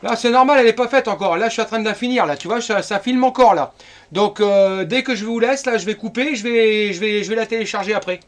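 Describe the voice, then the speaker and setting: A man's voice: sits high, fast. A middle-aged man from France chatting casually as an amateur radio operator.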